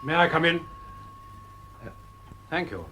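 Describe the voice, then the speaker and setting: An actor playing a formal, authoritative police superintendent in an audio drama, speaking deliberately. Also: male voice, a little high, very animated, bright, forceful tone, a little hiss or hum, exaggerated voice. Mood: official, composed.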